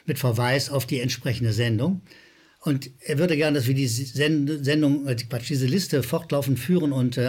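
A man's voice: mid-range voice, a little mellow, slightly rough. A middle-aged or older man with a slight Rhineland German accent, hosting an opinionated media commentary in a sardonic, emphatic manner, repeating words for effect. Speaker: host of a media criticism show. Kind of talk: opinionated commentary addressed to viewers.

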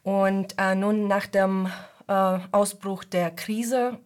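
A woman's voice: mid-range voice, steady tone, slow, a little mellow. A woman in her thirties from Donetsk with a Russian accent, answering interview questions hesitantly with many ums and restarts. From Donetsk, Ukraine. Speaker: guest, freelance journalist.

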